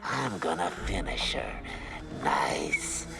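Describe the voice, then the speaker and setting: A man's voice: extremely low pitch, very animated, slow pace, vocal fry, heavy background noise, heavily acted. A man playing a sadistic, menacing comic-book supervillain who taunts his foe theatrically. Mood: gloating menace. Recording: loud music over the voice.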